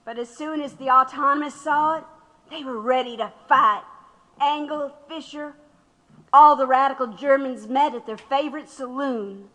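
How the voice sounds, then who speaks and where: Audio drama: proud, impassioned woman activist under questioning. Bright, forceful voice, long hall reverb. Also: sits high, moderate pace. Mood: impassioned recounting. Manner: impassioned, storytelling.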